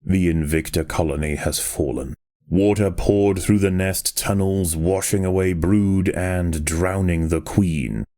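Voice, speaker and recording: A deep, mellow nature documentary narrator for a game. He delivers scripted lines with grave, measured drama. The sound is dry and intimate. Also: no echo.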